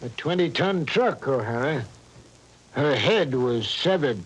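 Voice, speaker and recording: A man's voice: medium pitch, lively intonation, a little slow, very noisy, lightly acted. A man playing a small-town sheriff who questions a suspect and stammers when shaken, in an old radio horror drama. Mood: stern. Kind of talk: 1940s radio acting.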